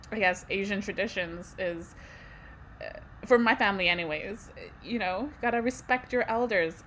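A woman's voice: mid-range voice, a bit bright, slight background noise. A young woman from Canada co-hosting a casual podcast, conversational, with frequent fillers and light laughs. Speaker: podcast co-host.

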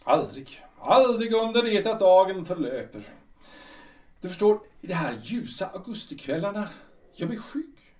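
A man's voice: sits high, lively intonation. A man acting a radio drama as a lonely, nostalgic retired official in his sixties, musing hesitantly in broken, repeated phrases. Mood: brooding. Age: 60s. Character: reclusive, nostalgic retired civil servant of 62, lonely but resigned. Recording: roomy echo, distant from the mic.

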